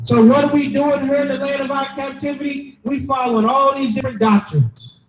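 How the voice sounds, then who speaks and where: A man delivers a loud, emphatic sermon, explaining scripture line by line. His voice sits very high and rings with echo. He is recorded from some distance, with faint ambient noise behind him. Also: steady tone, brisk. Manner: loud, emphatic, preaching to a crowd. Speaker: street preacher. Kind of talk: shouted sermon with scripture reading.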